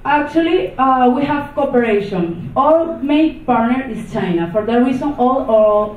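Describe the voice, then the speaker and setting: A woman from Venezuela, a non-native English speaker with a Venezuelan accent, giving an unscripted conference talk in a halting way, with many restarts and fillers. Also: a little high, a little hiss or hum. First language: not English. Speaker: conference presenter. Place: conference hall. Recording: echoey, distant, phone-like hall recording.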